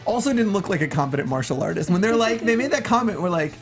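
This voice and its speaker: A man co-hosting a film review show, chatting casually in an animated, sarcastic, slangy way. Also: sits high, fast, faint music behind. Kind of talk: casual panel chat.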